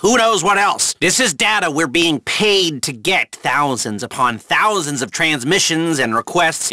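An actor playing an anxious, wisecracking criminal heist planner in a video game, chatty and sarcastic. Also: male voice, a little high, pushed and bright, no room sound, studio quality. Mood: urgent, tense. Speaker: heist crew planner giving mission instructions. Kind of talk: video game mission dialogue.

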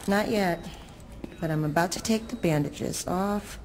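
A woman's voice: on the low side, a little slow, a little mellow. An actress playing a busy, matter-of-fact female doctor in a video game. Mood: businesslike. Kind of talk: video game cutscene acting. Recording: clear background noise.

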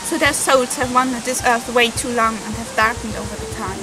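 A woman's voice: on the high side, a little fast, over music, lightly acted, low-quality recording. A young woman playing a solemn, cryptic forest spirit who protects all creatures, in an amateur fairytale film. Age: young adult. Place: outdoors in woodland.

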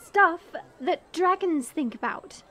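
A woman's voice: very high voice, expressive, slow pace, bright, forceful tone, a faint crowd, exaggerated voice. A woman playing a proud, flustered young dragon princess with haughty, formal denials in an audio drama. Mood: sulky.

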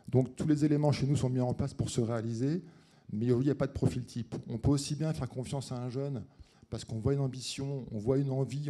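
Middle-aged man, executive on a conference panel, very mellow tone, medium pitch. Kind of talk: panel discussion. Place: conference auditorium stage. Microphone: handheld microphone.

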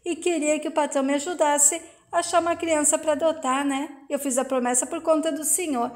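A woman's voice: high voice, fairly even intonation, brisk, voice pushed up, natural voice. A woman reading the lines of a determined, earnest middle-aged country wife in a soap recap.

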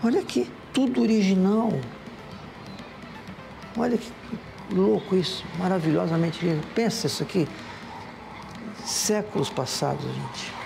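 A Brazilian man in his sixties hosts a travel vlog, gushing and exclaiming as he shows off old relics. His voice sits high with a gravelly edge. Background music runs under him as he walks and talks into a lapel mic. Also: a little slow, pauses often. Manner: effusive, exclamatory, keeps addressing viewers. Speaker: TV host on a travel segment. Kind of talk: excited travel vlog narration. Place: museum crypt, then city street outdoors.

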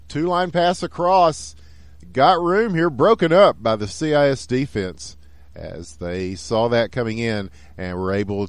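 A man with a Texan accent doing play-by-play commentary of a video game hockey match, getting excited and shouting on goals. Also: on the low side, no echo. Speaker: esports caster.

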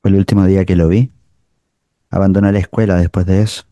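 A man's voice: deep voice, little pitch movement, fast talker, mellow, dry recording, muffled recording. A man playing a sombre, tender figure who remembers a schoolmate, in grave, reflective radio drama narration.

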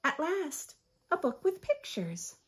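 An American woman in her thirties reading a picture book aloud with expressive, teacherly asides to young children. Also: sits high, takes it slowly. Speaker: children's story host. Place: small furnished room at home.

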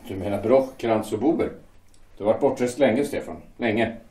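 A dutiful, worried shipyard foreman, played by a man in an audio drama. He speaks hesitantly, with a low voice. The room echoes noticeably. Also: mellow, lightly acted. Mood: grave. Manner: hesitant, defensive. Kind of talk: amateur audio drama acting.

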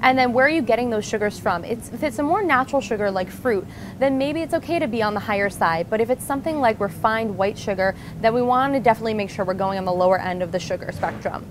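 A woman in her thirties gives direct, emphatic advice as a nutrition coach, her voice sitting a little high. She is recorded on a lapel mic inside a big grocery store. The hum and clatter of the shop come through plainly behind her. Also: fast. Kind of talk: instructional presentation. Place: large grocery store, indoors. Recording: store noise in the background.

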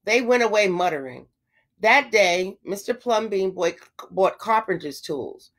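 A woman teacher reading a children's storybook aloud, with expressive phrasing and emphasized words. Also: mid-range voice, a little slow.

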